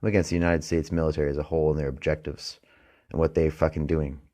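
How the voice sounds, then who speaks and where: A Canadian man hosting a casual late-night solo podcast, rambling and profane, trailing off into mumbles and then bursting into loud emphasis. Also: extremely low pitch, little pitch movement, quick pace. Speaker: podcast host.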